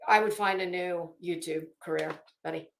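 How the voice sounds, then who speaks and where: A woman ranting casually as an online host, blunt and sarcastic, swearing freely and halting to stretch words for emphasis. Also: fairly low pitch, fairly even intonation, gravelly. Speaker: YouTube host. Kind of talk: casual livestream rant. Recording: echoey room.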